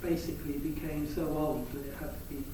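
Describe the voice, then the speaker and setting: English councillor, hesitant, answering from the panel in a reverberant hall, picked up from afar. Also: male voice, a little high, flat delivery, fairly quick, noisy background. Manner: hesitant, searching for words. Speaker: town councillor. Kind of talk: answering questions at a public meeting. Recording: echoey hall, distant mic.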